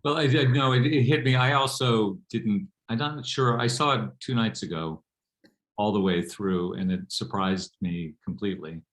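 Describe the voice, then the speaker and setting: An older man speaking reflectively as a panel guest, thoughtful and halting as he finds his words. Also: lowish voice, a bit bright.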